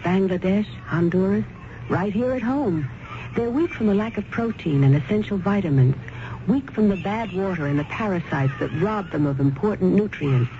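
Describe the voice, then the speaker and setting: A woman reading a scripted radio charity appeal in an earnest, measured way. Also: medium pitch, animated. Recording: dull, clearly noisy old radio recording.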